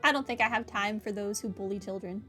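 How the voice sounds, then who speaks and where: A woman's voice: mid-range voice, fast talker. A woman gamer giving casual playthrough commentary and sometimes reading dialogue aloud. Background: game music.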